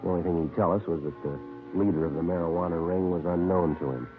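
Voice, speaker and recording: Male actor as terse American detective, rock-bottom pitch, matter-of-fact narration, old radio crime show, music behind. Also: fast talker, close to an everyday voice. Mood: dry, firm. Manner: deadpan, matter-of-fact, clipped. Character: terse, businesslike male police detective narrating his case. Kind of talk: 1950s radio crime drama, clipped narration. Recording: tinny vintage radio recording with music.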